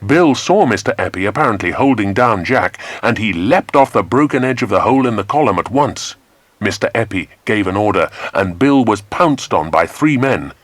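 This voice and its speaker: A man's voice: on the low side, expressive, moderate pace, no echo. A man narrating a children's adventure story in a dramatic, scripted style. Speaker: audiobook narrator.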